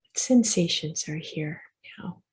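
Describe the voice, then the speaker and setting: A woman leading a guided meditation as the teacher, speaking softly and reassuringly in gentle, unhurried instructions with pauses. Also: deep voice, lively intonation, whispered.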